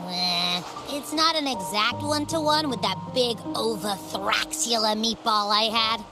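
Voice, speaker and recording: An actress voicing a cocky, gluttonous little creature sidekick, casual and boastful, in scripted game dialogue. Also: female voice, on the high side, measured pace, lightly acted. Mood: smug. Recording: game music behind the voice.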